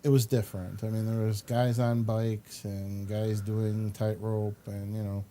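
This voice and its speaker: A man hosting a show, chatting casually and haltingly as he rambles through commentary on video clips. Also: on the low side, level tone, dark tone, a little hiss or hum. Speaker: show host.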